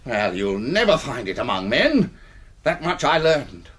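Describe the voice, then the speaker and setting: Old British army colonel, radio drama, domineering, irascible, sardonic, very animated pitch. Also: male voice, measured pace, husky, exaggerated voice. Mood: pompous, bitter. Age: older. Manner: pompous, blustering, sardonic.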